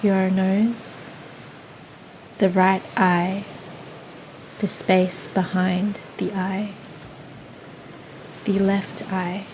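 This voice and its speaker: A woman leading a guided yoga nidra meditation in a soothing, gentle, unhurried manner. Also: mid-range voice, poor audio. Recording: faint steady ocean noise behind. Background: ocean waves ambience.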